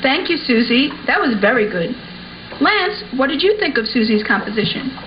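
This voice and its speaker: Woman as puppet schoolteacher, encouraging, orderly, high voice, muffled audio with faint noise. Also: sing-song, normal speed. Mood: approving. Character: encouraging, orderly female primary school teacher. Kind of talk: scripted puppet show acting. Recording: dull lo-fi sound with faint noise.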